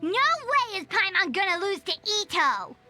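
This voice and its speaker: A woman voicing a small, excitable fairy companion in a video game, with a squeaky childlike voice and a feisty way of talking. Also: normal speed, faint music behind, full character voice. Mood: eager. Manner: speaks of self in third person.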